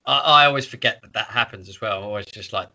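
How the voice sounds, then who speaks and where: Bright-voiced man chatting, slightly dull recording. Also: lowish voice, fast talker, creaky. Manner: sweary, irreverent. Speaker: podcast host. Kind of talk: casual book discussion chat.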